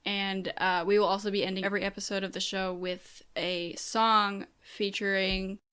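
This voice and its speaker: A woman's voice: medium pitch, little pitch movement, moderate pace, fairly bright tone, clear, resonant tone. A young woman co-hosting a podcast in casual chat, giggly and self-deprecating, swearing freely. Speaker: podcast co-host.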